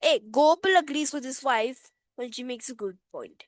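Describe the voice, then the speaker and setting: A woman's voice: sits high, moderate pace, dry recording, natural voice. A woman reading multiple-choice quiz questions aloud while putting on a playful, exaggerated Indian accent. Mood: teasing. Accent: put-on Indian.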